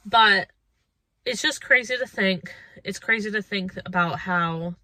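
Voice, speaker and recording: Bright-voiced thirty-year-old woman vlogger, chatty. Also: mid-range voice, measured pace, dry recording. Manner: informal, conversational, thinking aloud. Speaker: lifestyle vlogger. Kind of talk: casual reflective chat to viewers.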